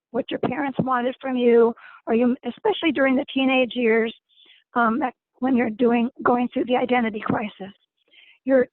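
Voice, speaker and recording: A woman, as a guest facilitator, speaks gently to an online group and often repeats words as she searches for them. Her voice is hoarse. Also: high voice, no echo, phone-quality sound. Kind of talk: informal lecture to an online group.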